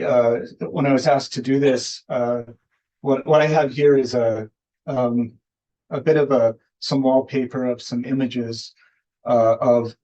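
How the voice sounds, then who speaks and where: An American man giving an informal guest speech in a halting, hesitant way, often restarting his phrases. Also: medium pitch, dark tone, low-quality recording. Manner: halting, hesitant delivery with repeated starts. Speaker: guest speaker, filmmaker. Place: indoor event hall, speaking at a podium.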